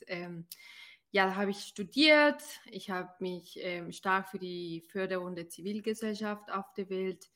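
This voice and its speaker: A woman from Ecuador with Spanish as her first language talks as a podcast guest. Her voice is of average pitch, and her style is casual and personal. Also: fairly bright tone. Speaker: podcast guest, development worker. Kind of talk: casual self-introduction on a podcast.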